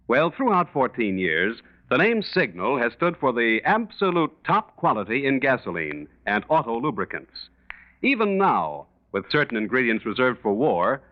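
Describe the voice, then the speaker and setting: A male radio announcer delivering a polished, emphatic scripted sponsor message in 1940s style. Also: mid-range voice. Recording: dull lo-fi 1940s radio recording.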